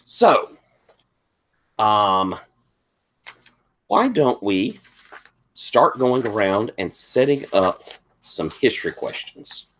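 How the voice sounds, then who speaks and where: A man with a thick Southern accent and a lowish voice runs a tabletop game. He is tired, and he explains the setup to his players in a polite, accommodating way. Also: very animated, extremely slow, pauses often, a bit bright. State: tired from lack of sleep. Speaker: game master.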